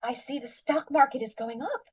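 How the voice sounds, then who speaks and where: A woman plays a needling, spendthrift wife in an old-time radio comedy, all mock sweetness. Her high voice leaps about expressively. She sounds a little back from the mic. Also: lively intonation, normal speed, slightly rough, recorded from a distance. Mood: nagging. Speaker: radio play actress. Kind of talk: 1940s radio comedy acting.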